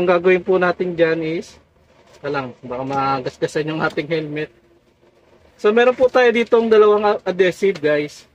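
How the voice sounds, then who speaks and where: A Filipino man with a noticeably high voice narrates a casual hands-on tutorial. He keeps speaking straight to his viewers as he explains each step. The audio is dull and lo-fi. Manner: repeatedly addresses viewers as guys. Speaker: tutorial vlogger.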